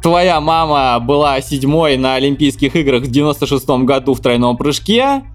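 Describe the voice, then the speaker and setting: A man's voice: medium pitch, expressive, moderate pace, faint music behind. Upbeat male podcast host. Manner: upbeat, playful reactions. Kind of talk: casual podcast interview.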